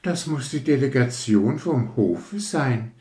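A man's voice: medium pitch, animated, slow, darkish tone, voice pushed up. A man voicing a vain, pompous firework rocket that boasts with haughty, affected grandeur in a storybook tale. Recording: roomy echo.

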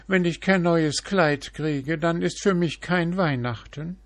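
A man's voice: a little high, slow pace, no echo, voice pushed up. A man voicing a vain peacock who whines about needing a new dress, in a storybook. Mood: whiny, vain. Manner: whiny, self-important.

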